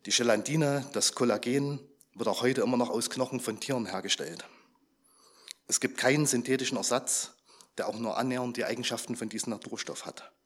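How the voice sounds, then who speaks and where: Man, a bit hoarse, chatty talk to a congregation, questions for the listeners, middle pitch. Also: a little fast, fairly bright tone. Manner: chatty, asks the audience questions. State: slightly hoarse, under the weather. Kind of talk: informal talk to a gathered audience.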